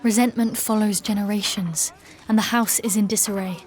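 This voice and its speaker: A woman's voice: medium pitch, steady tone, darkish tone, a little hiss or hum, lightly acted. Actress as a grave, formal warrior woman. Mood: guarded, serious. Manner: formal, measured, solemn. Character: grave, guarded warrior woman, a knightly mentor figure. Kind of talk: dark fantasy audio drama acting and narration.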